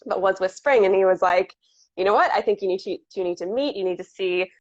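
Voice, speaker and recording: A woman hosts a relaxed conversational interview, chatty and upbeat, often restarting her sentences. Her voice sits at a middle pitch. The livestream audio is dull and very dry. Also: fairly quick, no echo. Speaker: interview host.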